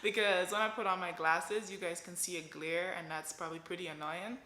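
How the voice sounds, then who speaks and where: A woman's voice: on the low side, steady tone, fairly quick, bright, forceful tone. A woman in her twenties from Guyana with a Guyanese accent, chatting animatedly to her viewers in a casual vlog. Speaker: vlogger. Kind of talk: casual chat to camera. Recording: echoey room.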